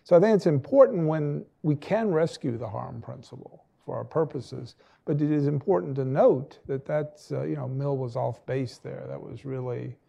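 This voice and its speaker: A man's voice: mid-range voice, moderate pace, creaky, warm tone, slightly rough. A man on a panel making a professorial point about a philosopher, then putting a pointed question to the room. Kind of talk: academic panel remark.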